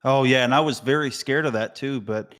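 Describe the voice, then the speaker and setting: A man's voice: medium pitch, brisk, a bit bright. A young man from Alabama with a light Southern accent, talking earnestly in a casual live chat, with plenty of "like" and "you know", and a voice that sounds older than his years. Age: young adult. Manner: earnest, filler-heavy rambling. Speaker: chat participant.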